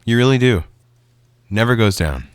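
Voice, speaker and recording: Low-voiced man casually explaining, dry sound. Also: lively intonation, normal speed, clean tone, no room sound. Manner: conversational, informal, explanatory. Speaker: video creator, weather radio hobbyist. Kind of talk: casual spoken explanation to viewers.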